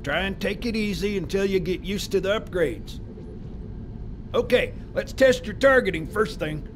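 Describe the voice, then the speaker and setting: A man playing a brisk, grumbling older military armorer in a video game, matter-of-fact and gruff. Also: sits high, background noise, close to an everyday voice. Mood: brisk instruction. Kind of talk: video game character acting.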